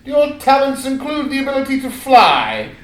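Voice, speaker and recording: A man's voice: extremely high pitch, wildly swooping pitch, normal speed, heavily acted. A man playing a pompous, condescending scholarly owl, speaking in formal, pedantic phrases. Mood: condescending, scolding. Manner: pedantic, formal, stuttering hoots on words. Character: pompous, scholarly, condescending old-fashioned great gray owl. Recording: echoey room, far from the mic.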